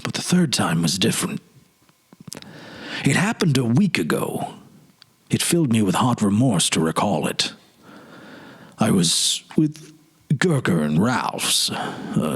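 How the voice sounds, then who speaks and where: A man playing a weary, haunted politician of forty in a storybook reading, confessing his regrets in a halting, sorrowful, dramatic way. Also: on the low side, fairly bright tone, breathy edge, full character voice. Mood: remorseful, confiding. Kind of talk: dramatic literary audiobook reading.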